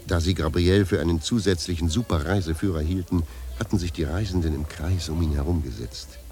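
A man's voice: low-pitched, fairly quick, dark tone, close to an everyday voice. A man narrating a radio drama as a dry, literary storyteller. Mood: wry, measured.

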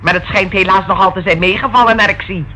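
An actress in a Dutch radio play playing a domineering, indignant middle-aged aunt who scolds in a haughty way. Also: female voice, medium pitch, fairly even intonation, fast, lightly acted. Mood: scolding. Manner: bossy, sharp, haughty. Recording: old radio recording with faint noise.

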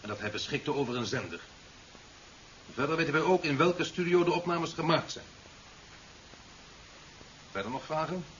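An actor playing a stern, impatient president in a 1970s radio drama, speaking curtly and formally. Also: male voice, a little high, moderate pace, pauses often, fairly bright tone, natural voice. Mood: curt, dismissive. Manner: curt, formal, reproachful. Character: stern, impatient male president, cutting and authoritative. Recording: dull, hissy 1970s radio recording.

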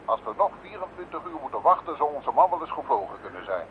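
A stubborn police commissioner in an old radio play, curt and commanding, his voice swinging in pitch. The sound is narrow and tinny, with faint hiss. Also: big pitch swings, quick pace. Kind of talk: radio drama acting.

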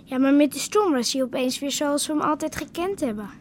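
A woman acts a grieving young village girl in a radio drama. Her high voice is subdued and plain, quietly resolute, over faint ambient noise. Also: brisk, lightly acted. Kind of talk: naturalistic radio drama acting.

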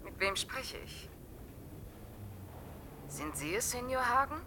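Radio play telephone role: wary, haughty woman, sharp tone, curt, slightly noisy line. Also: on the high side, big pitch swings, takes it slowly, heavily acted. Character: wary, haughty foreign lady on hotel telephone. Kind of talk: 1980 German radio drama acting.

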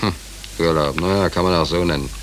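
An actor playing a weary, stubborn, penniless sailor in a 1940s German radio drama, gruff and colloquial. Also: male voice, low voice, fast, lightly acted. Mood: weary, dry. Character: weary, down-on-his-luck American sailor without papers, stubborn. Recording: noisy old 1940s radio recording.

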